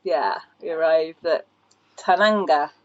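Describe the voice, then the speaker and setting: A woman's voice: low-pitched, slow pace. A middle-aged woman chatting casually as a podcast guest, a boat skipper, on a slightly lo-fi recording. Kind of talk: casual interview chat.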